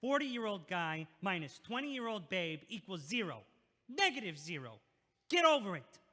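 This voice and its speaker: A man's voice: sits high, sing-song, normal speed, pushed and bright, exaggerated voice. An actor playing a crude, irritable, sarcastic male neighbor in an audio play. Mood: irritated, mocking. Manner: brash, sarcastic, grumbling. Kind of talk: stage-like audio play acting. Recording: echoey hall with long reverb.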